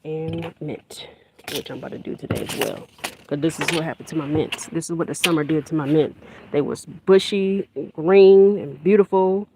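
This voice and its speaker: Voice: medium pitch, steady tone, moderate pace, mellow. An adult teacher of young children chatting casually on a phone livestream while working in a backyard garden, rambling and restarting sentences. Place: outdoor yard garden. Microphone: handheld phone livestream. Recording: outdoor noise from a running generator. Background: generator hum and vehicle noise.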